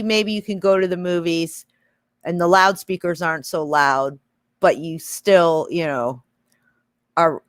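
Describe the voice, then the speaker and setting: A woman's voice: lowish voice, dry recording, clean studio sound. A woman on a discussion panel, arguing conversationally and hedging as she reasons through her points. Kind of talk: conversational argument.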